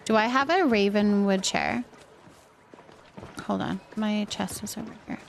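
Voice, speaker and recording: A woman's voice: mid-range voice, unhurried, vocal fry, a little hiss or hum. A woman chatting casually as she hosts a game livestream, thinking aloud and reacting to viewers. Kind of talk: casual chat while playing a building game, reacting to viewers.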